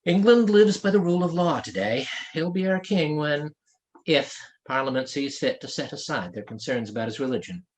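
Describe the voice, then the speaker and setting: A man's voice: a little high, lively intonation, normal speed, close to an everyday voice. A commanding woman captain of a sailing ship, voiced by a male narrator in a fantasy audiobook. The speech is formal, firm and clipped, with intonation that shifts markedly. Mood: brisk, challenging. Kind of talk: fantasy audiobook character dialogue.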